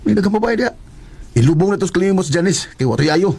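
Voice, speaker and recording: Male actor as blunt young Filipino buddy in radio drama, quick and animated. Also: sits high, gravelly, very noisy. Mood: consoling. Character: Crispin's blunt, consoling younger male pal.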